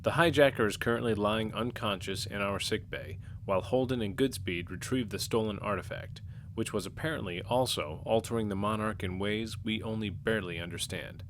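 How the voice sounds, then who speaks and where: A man speaking as a starship captain in a tabletop game, in a measured, commanding way. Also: on the low side, a little hiss or hum. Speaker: player voicing the ship's captain. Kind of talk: in-character play.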